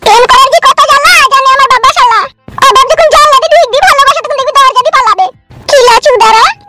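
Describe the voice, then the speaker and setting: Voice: extremely high pitch, racing, over music. A performer voicing a grasping village auntie in a comic cartoon. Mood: grumbling. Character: grasping village landlady aunt, sweet-talking then grumbling, cartoon woman. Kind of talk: comic Bengali cartoon dubbing.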